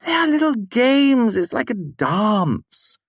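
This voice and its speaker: A man acts out an excitable young student in an audiobook, lovelorn and easily amused. The voice jumps high and swings about in animated exclamations, recorded very dry. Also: takes it slowly, husky, no room sound, exaggerated voice. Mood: earnest.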